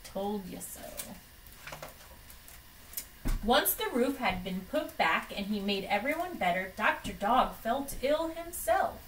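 A young woman reading a storybook aloud to children in an expressive, deliberate way. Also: on the high side, a little hiss or hum. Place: small room at home, indoors. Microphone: camera microphone from across the room. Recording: distant microphone in a room.

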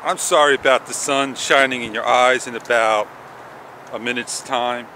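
A middle-aged man vlogging casually as he walks a park path in the morning, rambling along with pauses, his throat a little sore, recorded on an action camera held at arm's length. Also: medium pitch, poor audio. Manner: rambling, relaxed, thinks aloud with many pauses. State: sore throat, sucking on candy. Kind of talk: casual walk-and-talk vlog. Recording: outdoor noise with traffic behind. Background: passing traffic and outdoor ambience.

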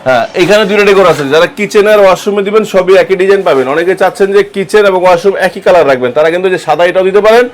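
Male vlog host, brisk, fairly high voice, cheerful showroom walkthrough. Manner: upbeat, asks short questions. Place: tile showroom.

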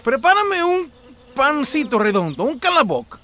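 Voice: high-pitched, sing-song, measured pace, sharp tone, heavily acted. An actor playing a demanding, grumbly old peasant husband in a children's cartoon dub, nagging as he talks. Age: older.

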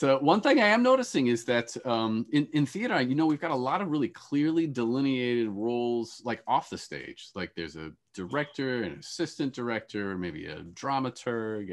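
A middle-aged man moderating a panel conversationally over a video call. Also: medium pitch. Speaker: moderator, theatre academic. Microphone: wired earphones on video call.

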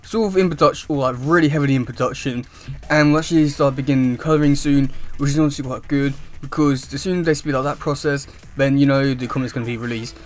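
A young man chatting casually to camera as a YouTube host, with a halting, wordy delivery. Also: medium pitch, fairly even intonation. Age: young adult. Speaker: YouTube host reviewing comic news. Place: small room indoors at home.

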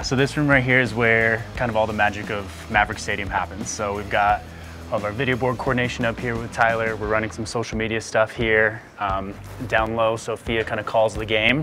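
A man's voice: fairly low pitch, bright, forceful tone. A man working in stadium production, informally explaining things in an interview. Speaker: stadium game-day production staffer. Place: stadium press box. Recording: music under speech.

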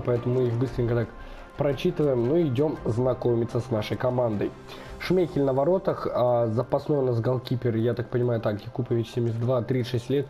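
A man's voice: medium pitch, mellow. Chatty young man narrating a football game. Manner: chatty, thinking aloud with many fillers. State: slightly unwell, voice affected. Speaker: gaming channel host. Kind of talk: casual let's-play commentary.

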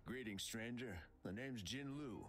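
An actor playing a polite, guilt-ridden former soldier in a video game, halting and subdued. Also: male voice, medium pitch, a bit bright, close to an everyday voice. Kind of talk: English video game voice acting.

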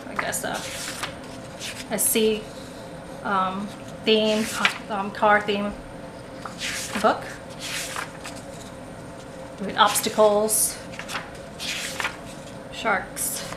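A woman shows her finds at a table in a relaxed, rambling way, filling pauses with ums and restarting her sentences. The room rings with echo, and a clear hum of noise sits under her voice. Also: mid-range voice. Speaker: haul vlogger. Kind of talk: casual show-and-tell haul. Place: indoors at a table, camera over the table. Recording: echoey room with background noise.

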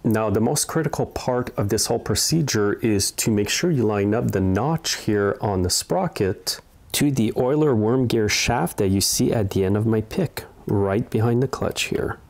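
Casting: middle-aged male repair-tutorial host, lowish voice, plain-spoken and methodical instruction. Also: moderate pace, darkish tone. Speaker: small engine repair host. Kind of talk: hands-on tutorial narration. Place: indoor repair workshop.

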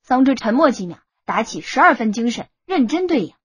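A woman's voice: a little high, very animated, a little fast, slightly rough, dry recording. A woman reading a novel aloud as an audiobook narrator in scripted style. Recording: music bed under the voice.

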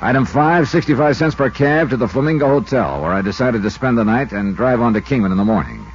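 A man's voice: medium pitch, expressive, quick pace, natural voice. An American actor playing a wry, self-assured insurance investigator in a 1950s radio detective drama, narrating and talking through his cases. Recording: dull 1950s radio recording, loud music.

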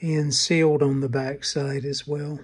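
Unhurried, flat-toned older man. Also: on the high side, husky. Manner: matter-of-fact, halting and unhurried. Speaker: vintage radio repair hobbyist, channel host. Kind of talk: casual hands-on repair narration.

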